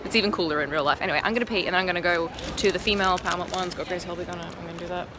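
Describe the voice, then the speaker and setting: A woman's voice: on the low side, fairly even intonation, very fast. A woman with an Australian accent, filming a casual vlog on her phone and making wry, chatty asides. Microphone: handheld phone camera. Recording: crowd and street noise behind. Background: crowd chatter and background noise.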